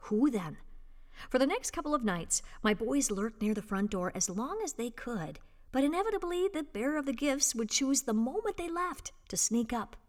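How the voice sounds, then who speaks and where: Woman, fairly high voice, scripted narration, measured expressive storytelling, read-aloud essay style. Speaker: volunteer reader and host of an audio reading service.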